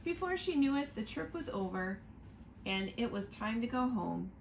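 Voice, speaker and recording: A woman reading a picture book aloud to children, slow and expressive, pointing out the pictures as she goes. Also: on the high side, gravelly, a little hiss or hum. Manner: slow, expressive storytime reading, asking listeners to look at pictures.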